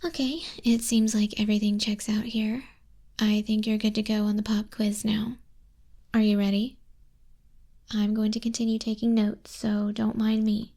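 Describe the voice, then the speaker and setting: A woman playing an exacting female griffin flying instructor in a hushed, breathy, measured ASMR roleplay, close to a binaural microphone. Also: medium pitch, level tone, lightly acted. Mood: businesslike, exacting. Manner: measured, unhurried, strict examiner tone. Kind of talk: soft-spoken, whispery ASMR roleplay.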